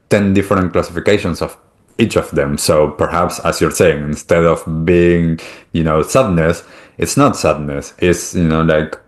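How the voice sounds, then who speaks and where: A middle-aged man with an Argentinian accent, a native Spanish speaker, talking reflectively and at length as a podcast host. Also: a bass voice. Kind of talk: reflective conversational interview talk.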